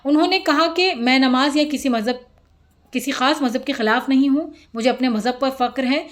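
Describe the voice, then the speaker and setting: A woman's voice: sits high, fairly quick. A woman presenting scripted news in a formal manner, occasionally stumbling over a word as she reads.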